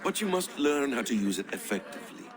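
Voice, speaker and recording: An actor playing a courteous, measured master of a secret assassin brotherhood in a video game, eloquent and philosophical. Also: male voice, mid-range voice, sing-song, a crowd in the background, lightly acted. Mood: instructive, calm. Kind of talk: video game cutscene acting.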